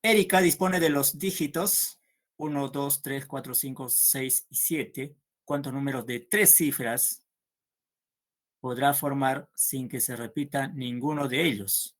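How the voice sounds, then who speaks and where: Male math teacher, unhurried and patient, talks students through each step. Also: mid-range voice, steady tone. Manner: slow and patient, checks understanding with "¿no?". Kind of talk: online math class, solving problems aloud.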